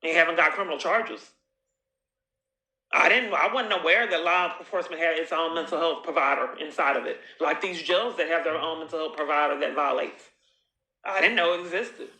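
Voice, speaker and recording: Woman arguing on a call, pushy and accusing, keeps repeating, sharp voice, echoey room. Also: low-pitched, brisk. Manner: accusatory, insistent, repetitive. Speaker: caller recording her own phone call. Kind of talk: confrontational phone conversation with long monologues.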